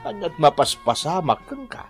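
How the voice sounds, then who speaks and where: A man's voice: a little high, big pitch swings, moderate pace, music in the background. A man with a Filipino accent playing a patient, concerned middle-aged husband and father in a radio drama. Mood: concerned, consoling. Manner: concerned, questioning. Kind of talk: Ilocano radio drama acting.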